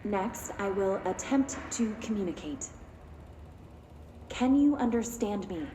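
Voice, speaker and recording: Game lab researcher, female, clinical, formal log, mid pitch, noisy recording. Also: slow. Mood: clinical, deadpan. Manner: clinical, deadpan, methodical. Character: detached female lab researcher logging an experiment. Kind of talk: formal spoken test log. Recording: echoey, noisy laboratory recording.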